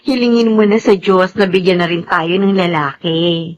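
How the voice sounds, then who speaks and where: A woman's voice: normal speed, muffled recording, lightly acted. An actress playing a loving, emotional pregnant young wife and mother in a Filipino radio soap opera. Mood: happy, excited. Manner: highly emotional, tearful delivery. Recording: dramatic music under the voice.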